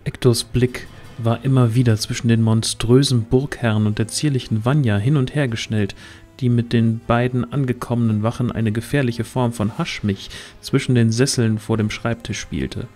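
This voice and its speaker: A man's voice: mid-range voice, steady tone, normal speed, darkish tone, faint music behind. A man narrating a scripted fantasy audio drama in a literary storytelling manner.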